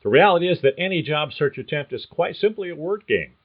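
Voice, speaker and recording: A man's voice: fairly high pitch, animated, fairly quick. Man reading a script over a tinny line. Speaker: podcast host. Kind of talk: scripted narration.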